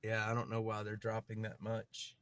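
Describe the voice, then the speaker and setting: A man's voice: lowish voice, monotone, normal speed, a bit bright. A man with a stutter, chatting casually on a livestream from a city street while moving around, rambling and repeating himself.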